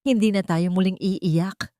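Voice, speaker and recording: A woman's voice: mid-range voice, fairly even intonation, measured pace, dry recording, studio quality, close to an everyday voice. Tender, resolute mother, read by a woman narrator. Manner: gentle, sincere, earnest. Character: tender, resolute young mother comforting her small children.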